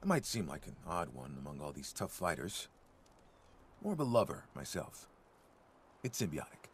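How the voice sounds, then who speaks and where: A man playing a gentle, timid, nature-loving ghoul gardener in a video game, speaking mildly, hesitantly and earnestly. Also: very deep voice, big pitch swings, moderate pace, a little hiss or hum, exaggerated voice. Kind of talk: video game character dialogue.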